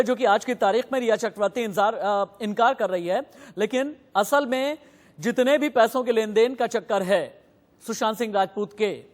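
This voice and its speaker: Guy anchoring live news, pushy and emphatic, firing questions, long echoey reverb on it. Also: high voice. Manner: insistent, emphatic, repeating instructions.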